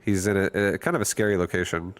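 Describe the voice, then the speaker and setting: A man's voice: low voice, fairly even intonation, quick pace, smooth. A man casually thinking aloud and commenting on his own strategy game as he streams it. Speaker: gaming streamer.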